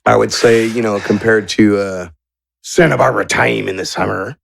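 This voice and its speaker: A man's voice: lowish voice, steady tone, normal speed, vocal fry. A man narrating a tabletop game as game master, improvising descriptions in an informal way.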